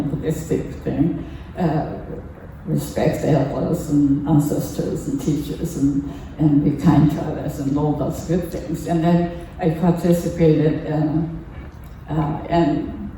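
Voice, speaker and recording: An older woman from Japan, a native Japanese speaker with a Japanese accent, gives a halting, earnest personal talk as a lay speaker at a temple service. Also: low-pitched, warm tone. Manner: halting, earnest, pausing often mid-phrase. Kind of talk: personal testimony talk to a congregation. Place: temple hall during a service. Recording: echoey room, distant mic, faint noise.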